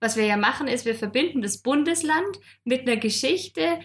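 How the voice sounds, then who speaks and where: A woman co-hosting a podcast, chatty and lively, laughing often as she explains. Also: high-pitched, moderate pace. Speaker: podcast co-host. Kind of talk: casual podcast chat.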